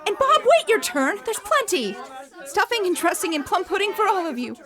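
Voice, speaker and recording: A woman plays a bustling mother in a radio play, fussing over her family. Her voice is very high, and she talks in a motherly, fussing way. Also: very animated, normal speed, sharp tone, full character voice. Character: warm, bustling working-class mother. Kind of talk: student radio drama acting.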